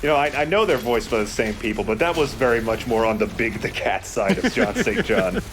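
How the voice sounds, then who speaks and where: A man's voice: mid-range voice, very animated, a little fast, background noise. A man commentating a video game in casual, joking chat with friends. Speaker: let's play commentator.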